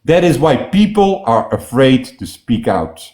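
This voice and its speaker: A man's voice: medium pitch, very animated, unhurried, darkish tone. A man hosting a heated online debate, pressing the other speaker with questions and accusations.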